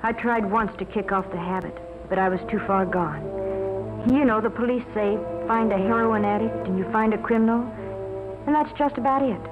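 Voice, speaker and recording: A woman's voice: a little high, moderate pace, natural voice. A young American woman playing a remorseful teenage former addict, confessing earnestly in a dramatic 1950s educational film style. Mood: regretful confession. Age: young adult. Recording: tinny phone-like 1950s film soundtrack, music behind.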